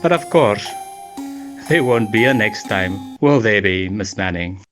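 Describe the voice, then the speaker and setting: A man playing a methodical, unflappable police inspector in an amateur radio murder mystery, speaking in a measured, formally polite way. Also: fairly low pitch, expressive, over loud music. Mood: stern, accusing. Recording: noisy home-recorded phone voice messages.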